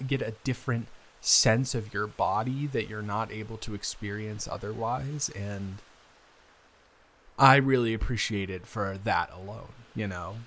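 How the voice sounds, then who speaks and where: A man playing a friendly, bookish young guy, his best friend's brother, chatting casually and haltingly in an improvised roleplay audio. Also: medium pitch, fairly bright tone. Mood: enthusiastic, fumbling. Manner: halting, rambling, self-interrupting with repeated restarts.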